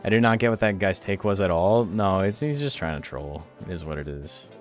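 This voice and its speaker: A man with a low, deep voice casually commentating a monster-battling game on a stream. He talks in a relaxed, wry way, tossing off jokes and teasing his chat. Faint music plays quietly in the background. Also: fairly quick.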